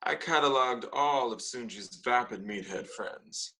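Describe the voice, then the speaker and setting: A man's voice: medium pitch, a little slow, pushed and bright, low-quality recording. A man playing a sardonic, judgmental young man who hides behind dry jokes, in a comedy table read. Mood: dry, judging. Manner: dry, sarcastic.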